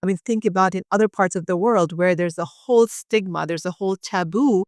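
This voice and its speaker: A woman from Bangladesh speaks as a guest specialist, earnest and passionate, explaining medical matters in everyday terms and leaning on fillers as she goes. Her voice sits at a middle pitch and the recording is close and dry. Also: fairly quick, darkish tone, dry recording. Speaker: urogynecologist guest.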